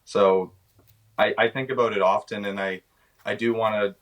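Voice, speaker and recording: Halting young Canadian man, lowish voice. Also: steady tone, lo-fi sound. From British Columbia, Canada. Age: 20s. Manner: halting, searching for words. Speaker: actor, interview guest. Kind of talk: casual, unscripted interview answers.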